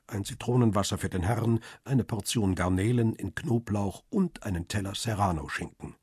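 A coolly observant male paparazzo telling his story in a radio crime drama. The voice is very raspy and fairly low, recorded close and dry. He narrates in a measured, descriptive way. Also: no echo. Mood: observant, calm. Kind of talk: scripted first-person radio drama narration.

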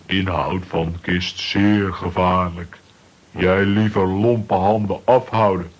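A cartoon strongman for a children's radio play. He is gruff and sure of himself, speaking very low and slowly in blunt, clipped phrases with a husky grain. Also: steady tone. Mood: firm, challenging. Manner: clipped telegram-style sentences without articles.

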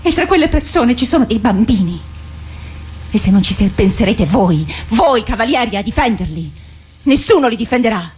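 A woman's voice: fairly high pitch, sing-song, normal speed, darkish tone, a little hiss or hum. A woman playing a hard, pragmatic, sarcastic comrade-in-arms in a radio drama, challenging and impatient with mocking questions. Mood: stern. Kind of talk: theatrical radio drama acting.

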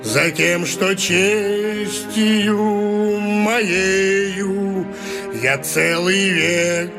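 A man's voice: high voice, fairly even intonation, extremely slow, full character voice. A man playing a stubborn, honourable middle-aged father in a classic comedy radio play, fussy and theatrical. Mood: proud, singing. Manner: repeats a pet phrase, insistent, theatrical. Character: stubborn honourable father in his forties, fussy but soft-hearted. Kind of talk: classic stage-comedy radio play acting, some sung verse. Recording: music behind the voice.

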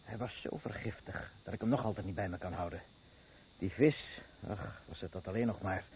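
An actor playing a poisoned, bereaved father accusing the seller, husky and bitter, in a Dutch radio play. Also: low voice, normal speed, a little hiss or hum. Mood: sickly, bitter. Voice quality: husky, rough. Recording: muffled, phone-like old radio recording.

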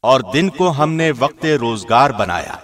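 A man reading a scripture translation in a formal, measured radio-host style. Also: mid-range voice, big pitch swings, fairly bright tone.

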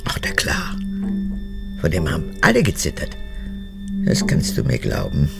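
A woman's voice: extremely low pitch, wildly swooping pitch, measured pace, gravelly, over loud music, heavily acted. An actress playing a frail, cracked-voiced old woman, a needy and spiteful mother with a childlike wheedling manner, in a radio drama. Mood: defensive. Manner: wheedling, childlike, swinging between whisper and outburst.

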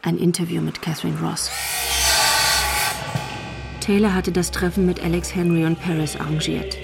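A woman's voice: fairly low pitch, little pitch movement, normal speed, creaky, loud music behind the voice. A woman narrating a crime radio drama as a composed storyteller.

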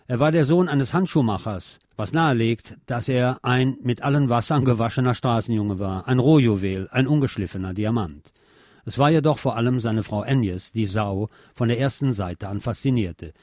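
A man's voice: mid-range voice, normal speed, a little mellow, no room sound. Male radio host, dry close sound. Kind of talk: scripted film review and sign-off for a radio show.

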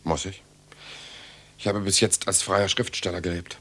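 An actor in a radio drama playing an earnest writer and secret resister, in dialogue and first-person narration. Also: male voice, sits low, fairly even intonation, moderate pace, fairly bright tone, slightly rough. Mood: guarded, earnest. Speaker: protagonist and narrator.

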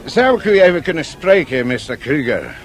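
Formal male envoy, slightly high voice, insistent, persuasive, secretive, radio drama. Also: sing-song, normal speed, soft background music. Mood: urgent, polite.

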